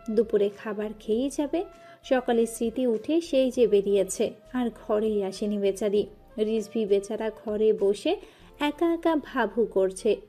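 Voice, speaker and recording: A woman storyteller narrating a scripted romance. Her voice is mellow and slightly high, and her reading is expressive and emotive.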